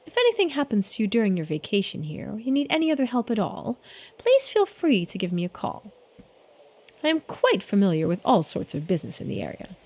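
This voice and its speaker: A woman's voice: medium pitch, wildly swooping pitch, a little mellow. Woman voicing a courteous, formal local man. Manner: polite, formal, measured. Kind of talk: video game character read-aloud.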